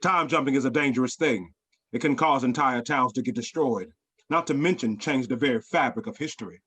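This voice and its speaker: An actor playing a brilliant, dark mad scientist professor with a husky voice and a sinister, knowing manner in a dramatic screenplay reading. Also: male voice, mid-range voice, a little fast. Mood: menacing, smug.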